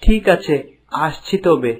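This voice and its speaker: A gentle young fairy-tale prince, voiced by a man, concerned and solicitous in his delivery. The cartoon track is a little noisy, with birds chirping behind him. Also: a little high, expressive, slow.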